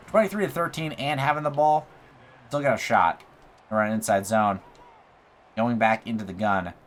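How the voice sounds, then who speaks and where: A young man giving casual play-by-play commentary over a football video game, excitable, with his voice going a little hoarse. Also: medium pitch, a little hiss or hum. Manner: excitable, talks through plays, says bro and like. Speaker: gaming YouTuber. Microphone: USB desk mic.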